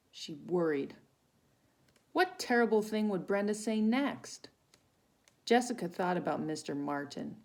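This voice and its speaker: Gravelly-voiced woman reading a children's storybook. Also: lowish voice, darkish tone. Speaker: volunteer storybook reader for children. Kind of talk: read-aloud children's story with asides to listeners.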